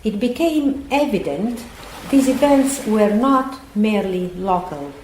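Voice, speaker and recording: A woman playing a grave narrator in a scripted audio drama about a disaster, measured and serious. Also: medium pitch, animated, darkish tone, close to an everyday voice. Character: grave female narrator reporting an unfolding pandemic. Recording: echoey room, clear background noise.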